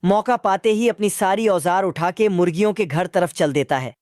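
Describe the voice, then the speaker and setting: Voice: medium pitch, moderate pace, darkish tone, no echo, clean studio sound. A narrator recapping a cartoon in a casual, chatty way with a bit of street slang. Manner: asks viewers to comment.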